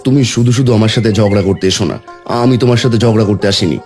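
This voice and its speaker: A man's voice: fairly low pitch, racing, a little mellow, soft background music, natural voice. A man voicing a poor young village husband in a cartoon, defending himself in an aggrieved way.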